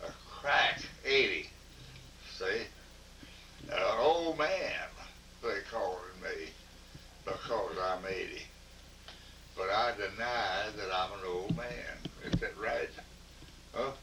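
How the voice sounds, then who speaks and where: An eighty-year-old man from Louisiana, casually answering family interview questions in a slow, joking, folksy way. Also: on the low side, lively intonation. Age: 80s. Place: living room at home. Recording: home camcorder audio, far from mic, faint noise.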